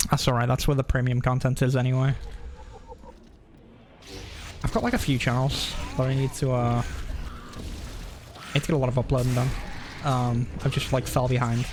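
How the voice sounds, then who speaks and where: A man's voice: medium pitch, flat delivery, a little fast, noisy background. A man chatting casually on a live game stream, rambling and often interrupting himself.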